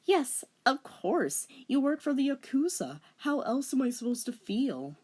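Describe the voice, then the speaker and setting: A woman voicing an earnest, doting anime boyfriend with soft, sentimental, drawn-out delivery in a parody fanfiction reading. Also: high-pitched, very animated, breathy edge, voice pushed up, exaggerated voice. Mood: worried, earnest.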